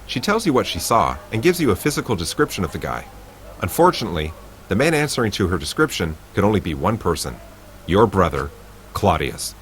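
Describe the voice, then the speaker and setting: A man's voice: low voice, very animated, fairly quick, a bit bright, slight background noise, rough recording. A man reading a text adventure game aloud with playful asides.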